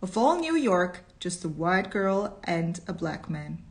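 A woman voicing excited, hostile city bystanders in a storybook with clipped exclamations. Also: mid-range voice, slow. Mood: astonished.

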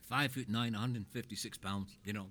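An older man telling his life story in a casual chat, rambling through animated anecdotes with plenty of swearing. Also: on the low side, moderate pace, slight background noise.

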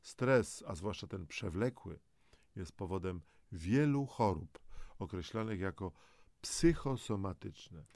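A man presenting educational health material in a measured, explanatory way, mixing scripted narration with conversational asides to the listener. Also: sits low, expressive, darkish tone, no echo, clean studio sound. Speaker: presenter of a health audio programme.

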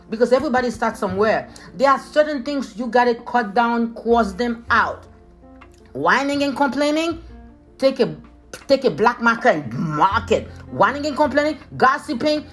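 Haitian-accented woman, motivational podcast host, emphatic and repetitive, slightly high pitch, faint music bed. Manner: emphatic, repeats phrases, addresses listeners as leaders. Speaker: motivational speaker.

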